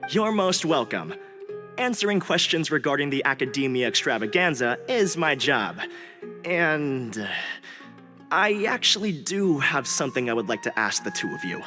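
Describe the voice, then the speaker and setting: High-voiced, courteous young academic. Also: male voice, very animated, normal speed, bright, forceful tone. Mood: earnest, courteous. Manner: polite, formal, explanatory. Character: courteous, earnest young male academic festival organizer. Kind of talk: video game character acting. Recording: long reverb, music behind.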